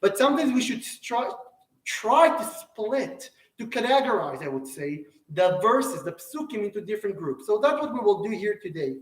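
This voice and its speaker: A man's voice: high-pitched, big pitch swings, normal speed. Earnest man teaching in an echoey hall. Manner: earnest, repeats and restarts phrases. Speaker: Torah teacher. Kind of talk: Torah lecture to a live audience. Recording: echoey room, mic at a distance.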